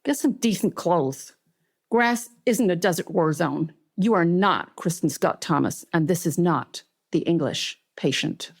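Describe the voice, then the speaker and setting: A woman's voice: on the low side, expressive, unhurried. A woman voicing a curt, scornful male newsroom boss with a Southern US accent in a book reading. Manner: brusque, dismissive.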